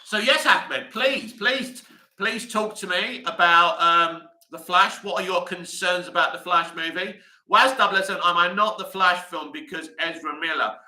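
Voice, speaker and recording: A man's voice: high voice, normal speed, pushed and bright, reverberant. A man from the UK hosting a live stream, chatting and answering viewer comments as he reads them out. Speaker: host.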